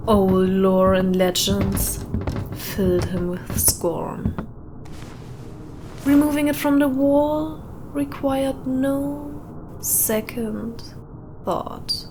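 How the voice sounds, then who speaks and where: A woman narrating a short story in a deliberate way with dramatic pauses. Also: medium pitch. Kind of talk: scripted narration, atmospheric storytelling. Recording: windy howling ambience behind voice. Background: added wind or howling ambience.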